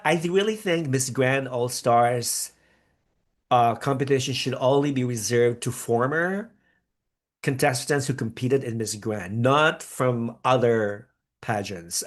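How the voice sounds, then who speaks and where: A man born in the Philippines who grew up in America, hosting a live reaction stream in a rambling, chatty way with upbeat bursts. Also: medium pitch. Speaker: podcast host reacting live to a pageant. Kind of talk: live-stream reaction chat reading viewer comments.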